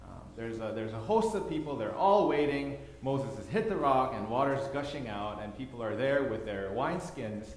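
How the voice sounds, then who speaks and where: A man's voice: medium pitch, lively intonation, moderate pace, hardly any pauses. A man from Thailand giving a sermon with slides, telling the story with repeated words for emphasis. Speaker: preacher. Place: church hall. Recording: echoey hall, long reverb.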